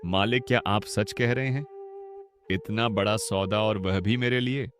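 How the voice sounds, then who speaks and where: A man voicing an earnest farmer, low voice. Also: unhurried, close to an everyday voice. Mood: grateful. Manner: respectful, earnest. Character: humble hardworking poor male farmer, middle-aged. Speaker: voice actor. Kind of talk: cartoon dialogue acting. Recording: background music under voice.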